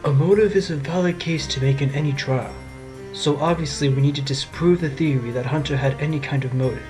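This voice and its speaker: Man playing wry, higher-voiced defense lawyer. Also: fairly quick, music in the background, lightly acted. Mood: determined. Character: wry, quick-witted male defense lawyer. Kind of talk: amateur audio drama acting.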